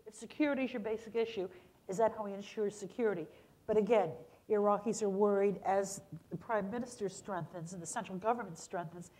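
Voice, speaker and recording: A woman's voice: medium pitch, mellow, slight background noise. An older woman speaking emphatically as a panelist on a lecture hall stage. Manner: emphatic, explanatory. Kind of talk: panel discussion.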